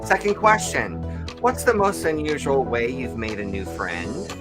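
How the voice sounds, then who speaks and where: A man hosts a casual talk show and asks his guest a round of questions. He speaks at a slow, deliberate pace and repeats each question. Faint music plays softly in the background. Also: mid-range voice, expressive. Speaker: host. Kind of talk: casual interview, game questions.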